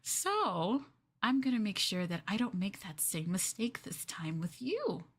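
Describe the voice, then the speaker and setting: A woman's voice: mid-range voice, sing-song, unhurried, husky. A woman playing an obsessive, possessive girlfriend in an ASMR roleplay, sweetly menacing and coaxing the listener in a cooing manner. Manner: cooing, coaxing, breaking into giggles and whispers.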